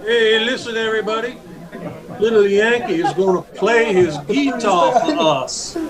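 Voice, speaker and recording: An actor playing a sneering, taunting town bully in a vintage radio Western, with a mocking drawl. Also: male voice, sits high, unhurried, crowd noise.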